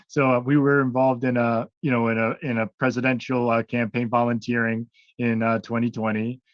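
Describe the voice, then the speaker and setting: A man's voice: mid-range voice, little pitch movement, moderate pace, dry recording, highs cut off. A man from Connecticut introducing himself on a call, hesitant and full of fillers. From Connecticut, USA. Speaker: caller.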